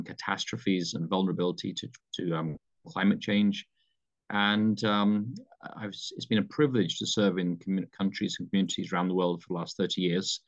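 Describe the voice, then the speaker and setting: A low-pitched British man in his fifties speaks as a pastor in an interview. He talks in a measured, halting way, full of ums and restarts. The recording is slightly dull and lo-fi. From United Kingdom. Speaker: pastor, mission leader. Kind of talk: interview answers.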